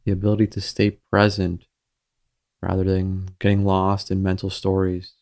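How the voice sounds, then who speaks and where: A man leading a guided meditation in a gentle, soothing, measured manner with pauses between phrases. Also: deep voice, monotone.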